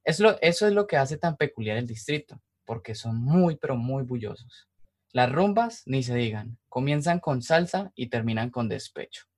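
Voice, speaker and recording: Colombian man narrating an informal podcast. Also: mid-range voice. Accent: Colombian, from Cali. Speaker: podcast host.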